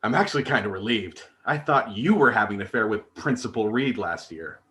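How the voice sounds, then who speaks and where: A man acts out a middle-aged office-working dad in a comedy table read. He is flustered and sheepish, with nervous hesitations and a mid-pitched voice that jumps around a lot. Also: lively intonation, fairly quick, a bit bright. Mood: startled, incredulous.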